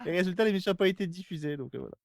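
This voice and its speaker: A man's voice: high voice, rapid-fire, creaky, no echo, studio quality. A man in casual podcast conversation, speaking in an earnest, opinionated way.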